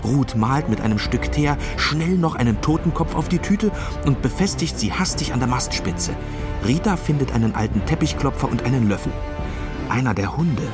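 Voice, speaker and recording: Male storyteller, medium pitch, very lively intonation, scripted children's narration, music behind. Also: moderate pace.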